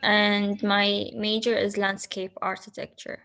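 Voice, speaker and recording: A soft-spoken woman from Oman introduces herself in a few short, slow phrases. Her voice sits at a middle pitch. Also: level tone, gravelly. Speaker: incoming student.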